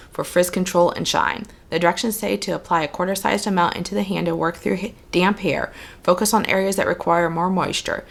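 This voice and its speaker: A woman with a hoarse, cold-roughened voice that sits low and carries a gravelly fry. She talks in a chatty, methodical way as a hair vlogger, and she reads product labels aloud. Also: fairly bright tone. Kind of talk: casual product review while styling.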